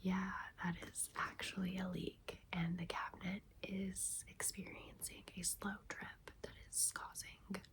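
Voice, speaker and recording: A woman in her thirties hosting ASMR, telling a personal story in a breathy, intimate, chatty whisper. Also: mid-range voice, little pitch movement, unhurried, few pauses. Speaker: ASMR video host.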